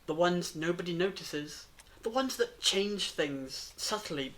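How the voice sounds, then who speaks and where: An actress plays an enigmatic, reassuring woman in an audio drama. Her voice sits on the low side. She speaks in a measured, philosophical way, calm and sure of what she knows. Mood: reflective.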